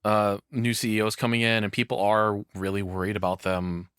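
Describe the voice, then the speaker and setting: A man giving casual reaction commentary as a streamer, rambling haltingly and swearing freely. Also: lowish voice, flat delivery, a bit bright, clean tone, no room sound, clean studio sound.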